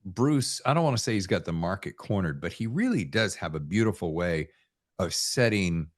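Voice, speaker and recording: A man's voice: low-pitched, animated, normal speed. A man hosting a podcast, chatting casually with guests in a folksy, effusive, rambling way. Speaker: podcast host interviewing authors. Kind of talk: casual interview chat.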